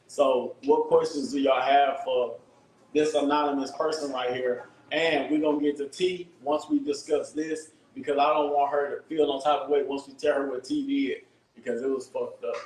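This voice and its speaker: A man hosting a live stream, loud and profane, constantly talking to his viewers. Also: medium pitch, fairly even intonation, moderate pace, echoey room, a little hiss or hum, rough recording. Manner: loud, hyped, profane, keeps addressing viewers. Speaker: livestream host. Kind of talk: casual live-stream chat.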